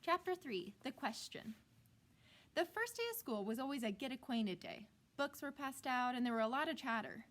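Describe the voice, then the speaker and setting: A woman narrating a children's book like a teacher reading aloud, expressive and deliberately phrased. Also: high voice.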